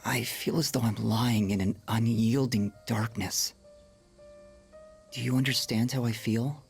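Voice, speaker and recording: A man's voice: mid-range voice, fairly even intonation, soft background music. An actor voicing a gloomy, sickly young man in a video game, speaking weakly and morosely. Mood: bitter, despairing. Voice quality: breathy, weak, husky. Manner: slow, morose, melodramatic.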